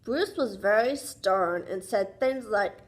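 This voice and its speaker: A woman's voice: mid-range voice, animated, takes it slowly, poor audio. A woman reading a picture book aloud to young children as a teacher would, sing-song and often prompting them with questions.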